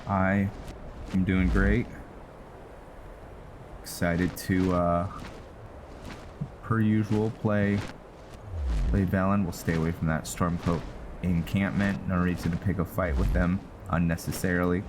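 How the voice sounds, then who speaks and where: A man with a low voice talks through a video game at a slow pace. He rambles and thinks aloud in a casual way, with clear noise behind him. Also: fairly even intonation. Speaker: gaming channel host. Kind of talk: casual game roleplay commentary. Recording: clearly noisy background.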